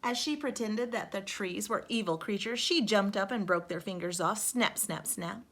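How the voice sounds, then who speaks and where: A woman teacher reading a storybook aloud to young children, expressive and emphatic, speaking to them directly. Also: mid-range voice, moderate pace.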